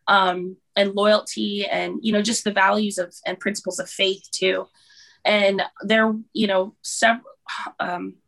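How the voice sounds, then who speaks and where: Podcast guest: woman in her thirties from Hawaii, mid pitch, halting and full of ums. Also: gravelly, a bit bright, low-quality recording. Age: 30s. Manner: hesitant, many ums and restarts. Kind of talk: casual interview.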